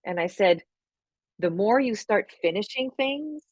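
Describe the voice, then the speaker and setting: A woman whose first language is French, a guest telling lively personal stories and acting out her past self. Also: medium pitch, unhurried, no echo. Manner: animated storyteller, acts out her own past voices. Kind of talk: heartfelt conversational interview.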